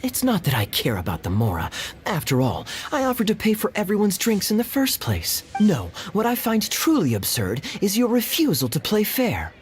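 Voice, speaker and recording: A man's voice: sits high, wildly swooping pitch, normal speed. An actor playing a confident, challenging young man in a video game, breezy and assertive, with an assured, articulate manner. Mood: indignant, challenging. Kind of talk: acted video game dialogue.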